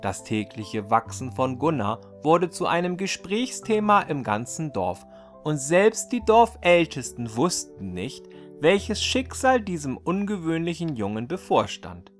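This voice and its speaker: A man reading a fairy tale aloud as a storyteller, in an expressive, deliberate scripted storybook delivery. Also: a little high, faint music behind.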